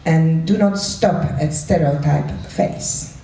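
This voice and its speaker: A woman's voice: low-pitched, slow pace. Echoey, distant woman moderating an event. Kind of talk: informal live event moderation. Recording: echoey room, distant mic, faint noise.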